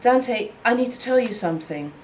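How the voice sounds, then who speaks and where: A woman's voice: a little high, lively intonation, normal speed, a little mellow, a little hiss or hum, pitched above the performer's own voice, close to an everyday voice. A woman voicing an anxious but determined teenage mother in a storybook reading, tentative and earnest.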